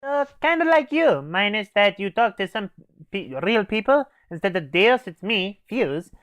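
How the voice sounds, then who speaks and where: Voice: medium pitch, lively intonation, normal speed, a bit bright, dry recording, natural voice. A performer voicing a brash, foul-mouthed, bloodthirsty military operator from a game in a comedy skit. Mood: blunt, mocking. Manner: blunt, cocky, swearing.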